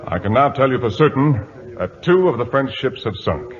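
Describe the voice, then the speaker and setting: A man's voice: mid-range voice, very animated, moderate pace, natural voice. A man acting a resolute, composed British naval captain in a radio drama, formal and authoritative. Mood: grave, composed. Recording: dull lo-fi old radio recording.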